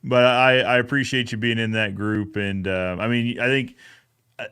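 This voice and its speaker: A man with a mid-pitched voice hosts a casual online chat. He talks conversationally and turns often to address the viewers directly. The sound is dry, with little room around it. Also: steady tone, moderate pace, pushed and bright, clear, resonant tone, dry recording. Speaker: YouTube host. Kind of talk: casual chat, podcast recap.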